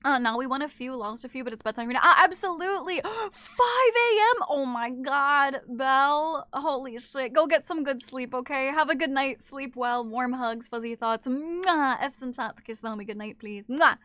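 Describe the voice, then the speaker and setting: A woman streamer chatting casually with her viewers in an animated, teasing way. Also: high voice, moderate pace, few pauses, no echo. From not from Japan. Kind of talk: casual live-stream chat.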